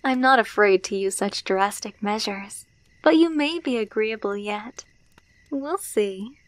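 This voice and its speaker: A woman's voice: medium pitch, no echo, close to an everyday voice. A woman playing a menacing, possessive female alpha werewolf, teasing and domineering in an ASMR roleplay, dropping into whispers. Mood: smug dominance.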